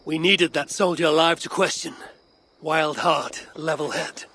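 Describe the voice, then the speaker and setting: An actor playing a steady, loyal Viking clan leader in a video game, measured and earnest, with a gravelly voice. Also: male voice, fairly high pitch, slight background noise. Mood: steady, concerned.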